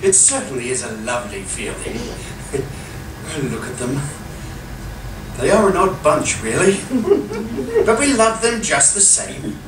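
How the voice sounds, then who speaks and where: A man's voice: fairly high pitch, huge pitch swings, takes it slowly, pauses often, exaggerated voice. Man acting genial village vicar, bumbling, genteel, swooping intonation, echoey radio play recording. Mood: genial, flustered. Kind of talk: amateur radio comedy acting. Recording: noisy, echoey live room, distant mic.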